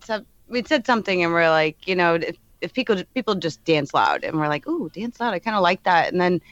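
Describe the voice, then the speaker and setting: A woman retells stories with animated, lively delivery as a podcast guest. The recording is dull and lo-fi, with a dry sound. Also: fairly low pitch, fairly quick, no room sound. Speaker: musician guest. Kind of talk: casual storytelling.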